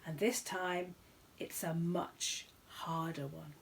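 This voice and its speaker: A woman's voice: fairly low pitch, fairly even intonation, takes it slowly, creaky. Woman as pompous, stiff male quiz host. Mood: solemn. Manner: stiff, solemn, condescending.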